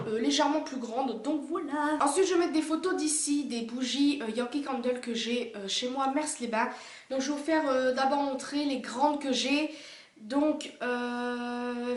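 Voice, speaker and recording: A woman's voice: sits high, echoey room. A young woman vlogger with a cold, chatting casually and gushing, with lots of fillers. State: has a cold. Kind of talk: casual chat showing a collection. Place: indoor room by a window.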